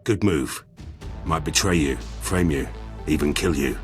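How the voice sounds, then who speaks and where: Low-voiced wry military officer. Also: male voice, measured pace, faint music behind. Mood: wry, cocky. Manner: clipped, wry, matter-of-fact. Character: cynical, opportunistic male Imperial military officer. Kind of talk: video game acting.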